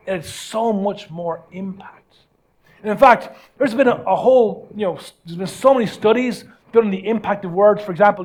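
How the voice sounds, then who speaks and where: High-voiced male preacher, emphatic delivery. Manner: emphatic, repeats phrases for weight. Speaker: pastor preaching. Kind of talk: conversational motivational sermon.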